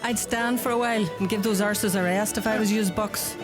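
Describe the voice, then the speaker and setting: A woman's voice: medium pitch, fairly even intonation, a little fast, music in the background, lightly acted. A woman playing a sardonic publican's daughter behind the bar, with a Donegal Irish accent and dry, cutting delivery, in a radio play. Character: sharp-tongued barmaid, publican's sardonic daughter.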